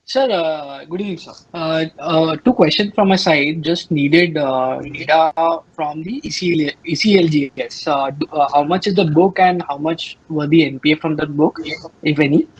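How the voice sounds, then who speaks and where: A man with an Indian accent and a husky voice, politely and haltingly asking questions as an analyst on an earnings call. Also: fairly high pitch. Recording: dull conference call line.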